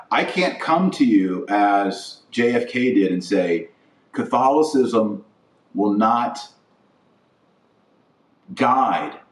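American male podcaster, low pitch, emphatic speechmaking with weighty pauses, recorded at a distance from the mic. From United States. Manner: emphatic, deliberate phrasing with stressed pauses. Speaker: podcast host. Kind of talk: political platform monologue, speech-like. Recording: roomy echo, distant from mic.

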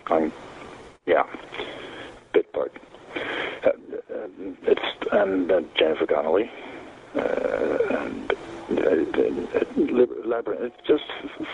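A man calling in from outdoors on his balcony, rambling and halting with many fillers. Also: low-pitched, very animated, vocal fry, a little mellow. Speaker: caller, listener. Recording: tinny phone line with faint background noise.

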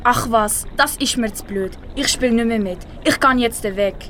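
A woman's voice: on the high side, normal speed, a bit bright, close to an everyday voice. A teenage girl with a Swiss German accent voicing a proud, sulky rainbow fish in an amateur school radio play. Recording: clear background noise.

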